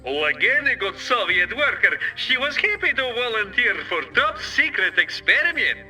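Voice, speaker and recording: Video game Soviet villain, Russian accent, very high-pitched, swooping intonation, theatrical, mock-polite, gloating, radio transmission. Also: male voice, big pitch swings, bright, forceful tone, soft background music, full character voice, low-quality recording. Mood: smug, gleeful. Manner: grandiose, drawn-out, mock-polite.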